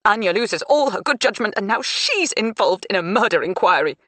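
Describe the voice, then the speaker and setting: A woman voicing a scornful, bitter middle-aged mother, shrill and accusing. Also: big pitch swings, fairly quick, a bit bright, dry recording.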